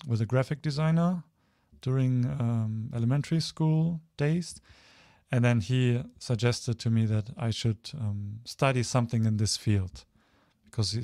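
A man's voice: lowish voice, darkish tone, no room sound, studio quality. A man in his thirties from Germany giving an informal online slide presentation, hesitant and often searching for words. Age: 30s. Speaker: guest speaker, photographer.

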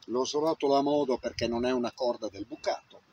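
A man's voice: medium pitch, a little fast, warm tone, lo-fi sound. A man in his fifties talking through a casual hands-on tutorial, half to himself, outdoors on a camera microphone. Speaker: amateur radio hobbyist demonstrating antenna tuning. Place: open grassy field outdoors. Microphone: camera mic, varying distance. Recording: noisy outdoor recording with clicks.

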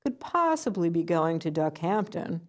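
A woman's voice: on the low side, big pitch swings, moderate pace. A woman voicing a snooty, pompous old male swan who talks down to others. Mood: haughty. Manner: pompous, haughty.

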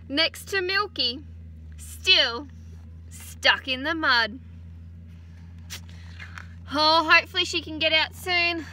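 An Australian woman in her thirties, a teacher gently reading a picture book aloud to children outdoors on a farm, adding chatty asides. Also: very high-pitched. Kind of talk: children's story time read-aloud. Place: outdoors on a farm beside a utility vehicle. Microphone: phone camera held close.

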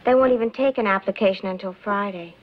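An actress playing an indignant young female college student who snaps back with sharp retorts. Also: mid-range voice, quick pace, slight background noise, lightly acted. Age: young adult. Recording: dull 1960s film soundtrack.

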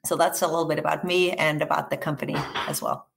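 A middle-aged woman with a blended American and Austrian accent and a fairly low voice. She talks with eager enthusiasm as a podcast guest, stumbling through fillers and restarts. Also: fairly even intonation, fast, creaky. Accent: mix of American and Austrian. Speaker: guest, HR research executive. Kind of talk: podcast interview.

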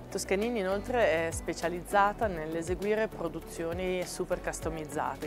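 Woman in her forties, lowish voice, poised corporate interview, lapel mic, music under her. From Italy. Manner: composed, articulate business talk with occasional fillers. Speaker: marketing and sales director. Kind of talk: company interview at a trade fair. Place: exhibition stand at a large indoor trade fair.

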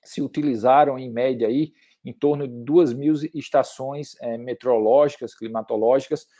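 Halting Brazilian man lecturing in a mellow voice. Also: medium pitch, steady tone. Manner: halting, repeats words as he builds sentences. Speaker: climate researcher, guest lecturer. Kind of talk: slide lecture at an online academic workshop.

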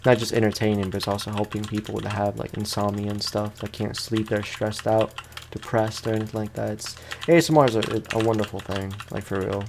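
A man's voice: on the low side, level tone, fairly quick, gravelly, a little hiss or hum. A man giving casual, rambling let's-play commentary with informal slang, chatting to viewers as he plays. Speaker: gaming content creator, let's play host. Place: room at home.

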